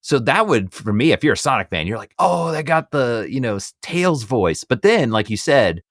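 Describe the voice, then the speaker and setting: A man's voice: medium pitch, sing-song, normal speed, dry recording, studio quality. A man hosting a casual film discussion, talking in an enthusiastic, conversational fan's way. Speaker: podcast host.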